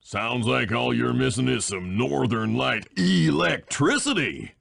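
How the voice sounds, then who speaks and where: A rough, folksy train baron villain with a cowboy drawl. His voice is very creaky and swings widely in pitch, with a husky edge, like a big boss in a cartoonish game. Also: sing-song, unhurried, a bit bright, full character voice. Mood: boastful. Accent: North American cowboy drawl. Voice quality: gravelly, rough.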